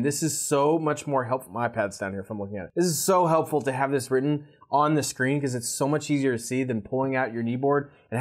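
Fast-talking chatty man. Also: medium pitch. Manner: quick, chatty, laughs at his own slips. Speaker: pilot and aviation channel host. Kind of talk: casual gear review to camera. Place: small home studio with shelves behind.

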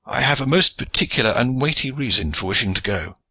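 A man's voice: medium pitch, animated, fairly quick, pushed and bright, husky, no echo, voice pushed up, full character voice. A man voicing a sturdy, jovial old knight with a boisterous, hearty way of talking.

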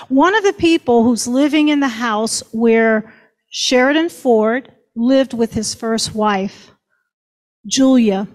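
A woman history professor giving an earnest, emphatic storytelling talk on a panel. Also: on the high side.

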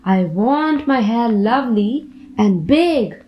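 A woman's voice: a little high, animated, slow, dull audio. A woman voicing a sulky, dramatic little girl from a children's storybook, complaining in a whiny, exaggerated way.

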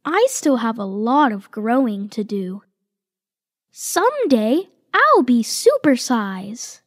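A woman reading a children's picture book aloud, slow and expressive. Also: fairly high pitch, dry recording, studio quality. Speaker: reader voicing tiger cubs.

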